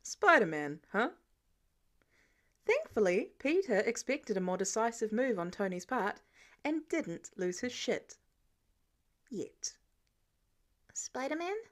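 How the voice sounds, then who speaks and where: High-pitched, expressive woman narrator. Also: measured pace. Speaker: podfic narrator. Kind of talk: scripted narration, fan fiction audiobook.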